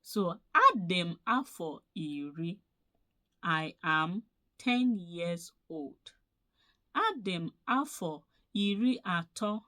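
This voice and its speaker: Woman teaching phrases, low voice, super slow and patient, says everything twice. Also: animated, sharp tone. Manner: measured, repeats each phrase slowly for learners. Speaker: language teacher.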